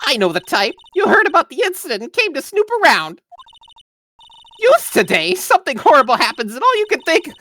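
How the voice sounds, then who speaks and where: A cranky old woman guard in a game dub, extremely high and sharp. The voice blusters and scolds, swooping wildly from note to note. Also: big pitch swings, brisk, heavily acted. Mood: indignant scolding. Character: loud, cantankerous old female studio security guard. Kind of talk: live fan voice-over of a video game.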